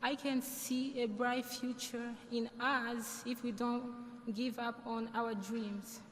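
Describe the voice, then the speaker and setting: A woman's voice: on the high side, flat delivery, a bit bright. A young woman with a non-native English accent giving an earnest graduation speech as a student speaker. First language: not English. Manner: earnest, measured delivery. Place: large hall. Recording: hall reverb, faint noise.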